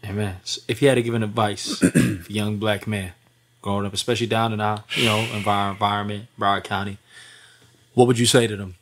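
A man's voice: lowish voice, little pitch movement, fairly quick. A man with an American accent asking questions and chiming in casually as a podcast host. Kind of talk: casual chat. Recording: clear background noise and clicks.

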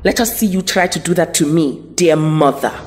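A woman's voice: low voice, normal speed, heavy background noise, lightly acted. A woman playing a defiant superhero who resists an alien parasite in an audio drama.

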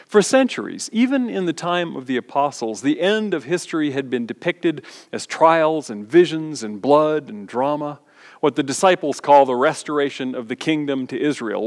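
Middle-aged man preaching in a reverberant church. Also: fairly high pitch. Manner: measured, reflective preaching with soft trailing endings. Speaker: pastor. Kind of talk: sermon. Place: large church sanctuary, standing before the altar. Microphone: far camera.

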